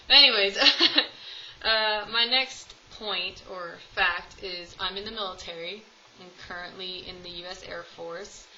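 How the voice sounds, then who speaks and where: A woman's voice: medium pitch, unhurried, pushed and bright, a little hiss or hum, rough recording. A woman in her twenties chatting casually to camera at home, rambling with lots of ums. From United States. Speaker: vlogger. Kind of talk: casual tag video chat. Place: home room, at home with cats.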